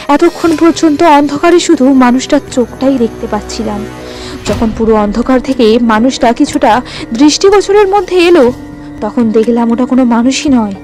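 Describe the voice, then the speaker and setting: A woman narrating a first-person horror story in a suspenseful, theatrical way with dramatic pauses. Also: sits high, a little mellow. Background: music.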